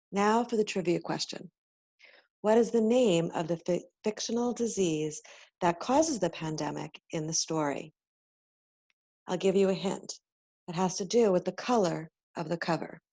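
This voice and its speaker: A woman's voice: on the low side, darkish tone. A woman novelist giving a prepared introduction and trivia question. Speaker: young adult novelist.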